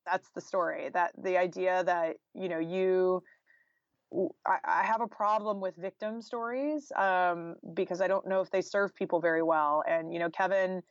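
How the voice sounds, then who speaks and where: A woman's voice: on the low side, steady tone, normal speed, no room sound, lo-fi sound. An American woman talking informally and candidly as a guest author in a podcast interview, peppering her speech with "like" and "you know.".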